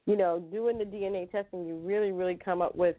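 A woman chatting casually on a podcast, animated and exclamatory, who repeats herself for emphasis. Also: medium pitch, quick pace, no echo. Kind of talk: casual chat. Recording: tinny phone-line sound.

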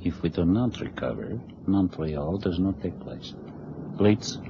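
Brief: a terse, menacing Soviet spymaster, very low and slow, hissy old radio tape. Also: male voice, dull audio. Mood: cold, menacing. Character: cold male Soviet spymaster giving orders. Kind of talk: 1960s radio drama acting.

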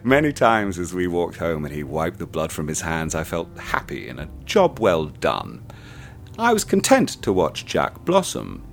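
An actor playing a cold, sadistic man who speaks to a bound captive in a soft, measured, menacing way in a radio play. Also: deep voice, huge pitch swings, gravelly, heavily acted. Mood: gloating recollection.

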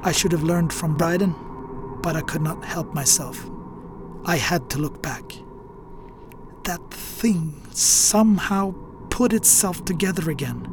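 A man's voice: a little high, a little slow, lightly acted. Man acting a haunted Scandinavian seaman, grave weary confession, radio drama, music behind. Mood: shaken. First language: Scandinavian language. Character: haunted Scandinavian sailor recounting a horror at sea.

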